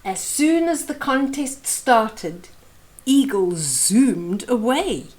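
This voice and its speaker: Middle-aged woman, children's story reader, high lively pitch, slow pace, explanatory, expressive. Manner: deliberate, explaining pictures to young listeners. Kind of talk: expressive picture-book read-aloud for children. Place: small indoor room.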